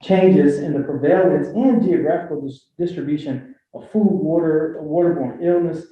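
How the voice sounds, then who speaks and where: Philly-accented man, joking, echoey room. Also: a little high. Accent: Philadelphia. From Philadelphia. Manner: joking, with many fillers. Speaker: panelist. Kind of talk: conversational panel talk. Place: university forum room. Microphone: handheld mic. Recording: echoey lecture room, dull sound.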